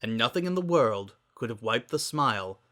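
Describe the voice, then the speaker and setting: A narrator reads a scripted story in a dramatic style. The voice is bright and forward, at a medium pitch. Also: animated, moderate pace.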